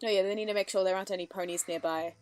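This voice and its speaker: A woman giving casual live reaction commentary, with expressive, sympathetic asides. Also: mid-range voice, rapid-fire, faint music behind.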